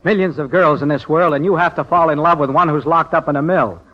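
A man's voice: a little high, fast, natural voice. An actor playing a breezy, girl-chasing bachelor sidekick in a vintage radio musical comedy. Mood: wry, teasing. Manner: breezy, joking, theatrical. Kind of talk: 1940s-50s radio musical comedy acting. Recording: dull old radio recording, music behind.

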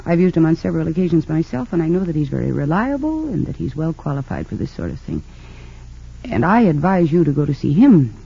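An actress playing a composed, principled woman defense attorney in an old radio drama, formal and measured as she questions. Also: low-pitched, big pitch swings, gravelly, warm tone, slightly rough, full character voice. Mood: probing, businesslike. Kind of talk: 1940s-50s radio drama acting. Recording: dull lo-fi old radio recording.

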